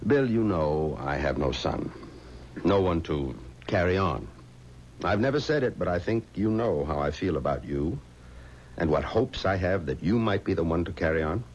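Lively, low-voiced, confident boss. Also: male voice, moderate pace. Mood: paternal. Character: confident, paternal funeral home boss, brisk and dismissive. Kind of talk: 1970s radio drama acting. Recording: dull, faintly noisy 1970s radio recording.

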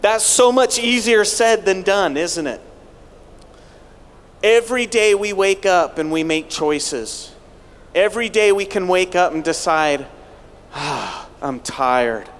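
Man giving a slow, rallying arena keynote. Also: high voice, expressive. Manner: rallying, asks the audience rhetorical questions. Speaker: keynote speaker. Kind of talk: motivational keynote speech. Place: large arena stage. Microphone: handheld microphone. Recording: long arena reverb.

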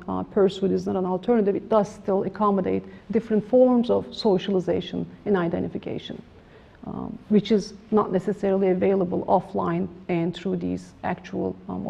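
Woman lecturing, gravelly and mellow. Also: medium pitch. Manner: measured, formal, frequent restarts and fillers. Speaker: academic lecturer. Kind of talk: academic lecture presentation. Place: lecture room with projector screen. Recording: slightly dull lo-fi room recording.

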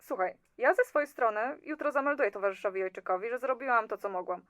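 A woman's voice: on the high side, animated, quick pace, a bit bright, dry recording, studio quality. A woman playing a chatty, nonchalant and slightly condescending office clerk in an audio drama. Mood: smug, dismissive. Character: breezy, self-serving female office clerk in communist-era Poland.

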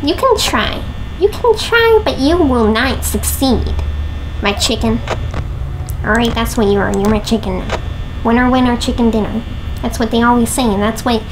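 A woman's voice: on the high side, animated, normal speed, background noise. A young woman with a headset, playfully commentating a horror game, chatting to her viewers and teasing the game characters. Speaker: gaming video host.

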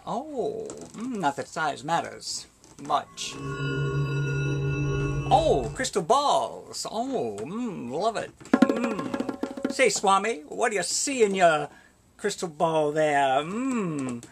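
A glamorous, flirtatious fairy lady played by a man, campy and comic. The words come slowly, drawn out with lively pitch, over loud music. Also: vocal fry, heavily acted. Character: languid, flirtatious glamorous Blue Fairy woman.

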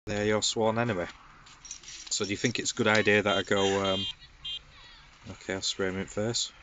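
Man, stuffy cold, low voice, chatty gaming commentary, faint game noise. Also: flat delivery, moderate pace. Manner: chatty, joking asides. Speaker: gaming channel host. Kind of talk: casual let's-play commentary.

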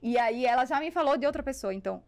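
Podcast guest: Brazilian woman, higher-pitched, conversational storyteller, close studio mic. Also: expressive, quick pace, a little mellow. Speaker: podcast guest, business networker. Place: small foam-treated podcast studio. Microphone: close podcast microphone on boom arm.

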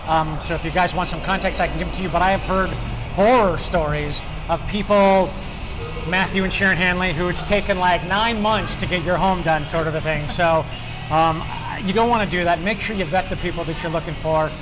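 A man's voice: high voice, fairly quick, low-quality recording. A man in his forties hosting a casual restaurant review, chatty and upbeat with many fillers, recorded on a lapel mic on an outdoor patio. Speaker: host. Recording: music playing behind.

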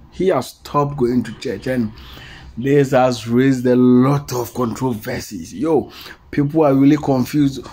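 A man giving informal news commentary to introduce an interview, in emphatic, repetitive, halting phrases. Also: on the high side, a little mellow, slightly rough.

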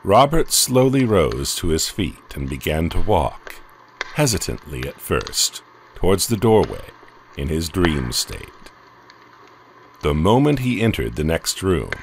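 A man with a very deep voice reads a horror story slowly and dramatically, and his pitch rises and falls widely. Faint ambient noise sits under the reading. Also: sing-song. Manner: slow and dramatic. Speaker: narrator. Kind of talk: audiobook horror narration.

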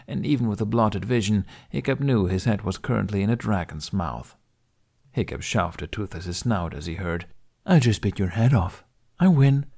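Low-pitched male audiobook narrator. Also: creaky, darkish tone. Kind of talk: scripted story narration.

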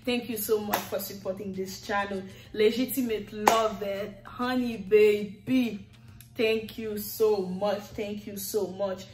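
A middle-aged woman with a Haitian accent speaks slowly and fondly, drawing out repeated words in a sing-song lilt. She sits in an echoey living room facing the camera. Soft music plays faintly beneath her voice. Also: mid-range voice, steady tone. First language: Haitian Creole. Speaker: YouTuber. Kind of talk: thanking subscribers on camera. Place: living room with red leather sofa, indoors. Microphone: camera in front, seated.

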